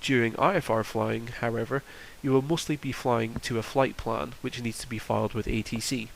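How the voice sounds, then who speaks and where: A man's voice: mid-range voice, fairly even intonation, normal speed, vocal fry, low-quality recording. A man presenting a tutorial in a casual, explanatory walkthrough style. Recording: faint background noise.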